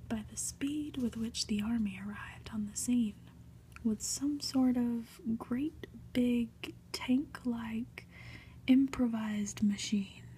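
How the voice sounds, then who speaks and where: Slow whispering woman reading a story. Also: a little high, poor audio. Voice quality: breathy whisper. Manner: slow, gentle, deliberate reading. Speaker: ASMR reader. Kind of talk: whispered ASMR storybook narration. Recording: faint background noise.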